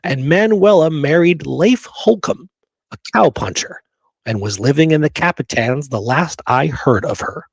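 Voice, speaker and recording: A man's voice: fairly high pitch, expressive, a little slow, creaky, husky, no echo. Emphatic man presenting history research, dry sound. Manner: emphatic, checks in with rhetorical right and okay. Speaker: history show host. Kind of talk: presenting research to listeners, partly reading prepared notes.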